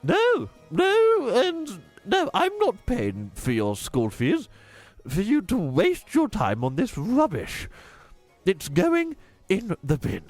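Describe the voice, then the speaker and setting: Man putting on a shrill, scolding mother. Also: huge pitch swings, slow pace, faint music behind, heavily acted. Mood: furious. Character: strict, scornful middle-aged mother. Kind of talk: voicing a character in a children's book reading.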